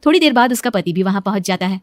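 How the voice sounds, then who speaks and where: A woman's voice: medium pitch, animated, racing, dry recording. A woman narrator reading a scripted story in a storytelling style.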